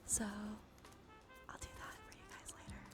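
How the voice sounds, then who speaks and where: A woman livestream host speaking in a soft, breathy, often whispered voice, murmuring casually and unhurriedly to viewers in an ASMR tarot style. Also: very high-pitched, wildly swooping pitch, a little slow, music in the background. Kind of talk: ASMR tarot reading stream.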